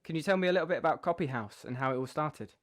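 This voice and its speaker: A man's voice: fairly high pitch, rapid-fire, a little hiss or hum. A man hosting a podcast, introducing and questioning in an interview style. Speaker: podcast host.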